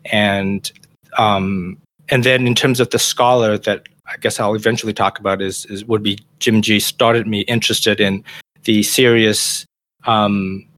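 A man's voice: lowish voice, a bit bright, no echo. A middle-aged man from Malaysia, a professor of educational technology, answering interview questions in a thoughtful, hesitant way with frequent restarts.

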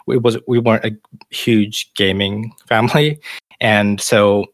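A man's voice: lowish voice, steady tone, a little slow, no echo. A middle-aged man from Malaysia, a professor of educational technology, answering interview questions in a thoughtful, hesitant way with frequent restarts.

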